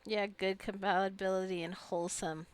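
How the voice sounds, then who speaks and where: A woman's voice: mid-range voice, fairly even intonation, normal speed, clear, resonant tone. A woman giving casual let's play commentary, chatty and rambling as she muses aloud. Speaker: gamer narrating own gameplay.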